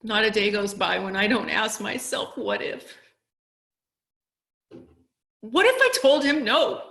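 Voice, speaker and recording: Woman acting grieving mother, slow, echoey room. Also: medium pitch, lively intonation, vocal fry, fairly bright tone, full character voice. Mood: anguished regret. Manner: halting, heavy pauses, emotional. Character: grieving, guilt-ridden mother, leader of a support group. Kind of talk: dramatic theatrical monologue. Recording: echoey room over video call.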